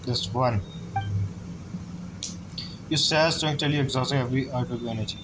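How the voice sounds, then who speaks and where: A man doing casual game commentary and reading dialogue aloud, with halting, non-fluent phrasing. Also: medium pitch, noisy background. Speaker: gamer, let's-play commentator. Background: game music.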